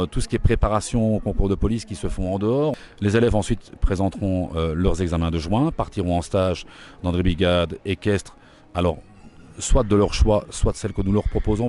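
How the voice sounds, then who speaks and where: A middle-aged man from Belgium with a Belgian French accent, explaining his training program in an interview, brisk and informative with frequent fillers. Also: deep voice, warm tone.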